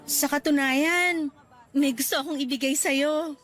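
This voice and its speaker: A sweet, playful young girlfriend as a woman acts her out in a radio love story. Her voice is high and bright for a woman. Faint music runs behind her. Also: fairly even intonation, unhurried. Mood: affectionate. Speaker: voice actress. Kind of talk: radio drama acting.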